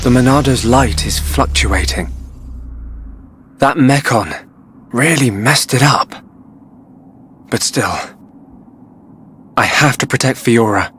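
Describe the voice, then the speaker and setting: An actor playing an earnest, protective young hero in a video game cutscene, speaking with sincere intensity. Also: male voice, mid-range voice, takes it slowly, pauses often, a bit bright, a little hiss or hum. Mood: brooding, worried.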